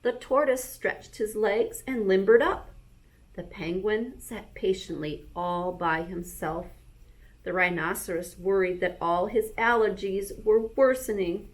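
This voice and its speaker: Slow-paced woman reading a bedtime story. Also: mid-range voice, rough recording. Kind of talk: expressive read-aloud storytelling for young children.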